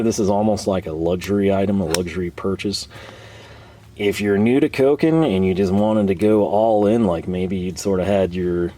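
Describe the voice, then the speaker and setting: Low, mellow-voiced man, slightly hoarse. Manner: rambling, chatty, frequent fillers and chuckles. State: slightly hoarse, losing his voice. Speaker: tool channel host. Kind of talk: casual show-and-tell talk. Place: home workshop.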